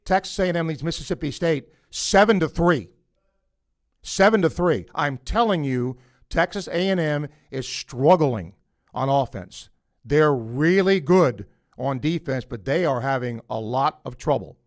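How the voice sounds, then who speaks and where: A man's voice: a little high, lively intonation, measured pace. A man hosting a late-night call-in sports radio show, talking loosely with fillers and restarts. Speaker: radio sports talk host.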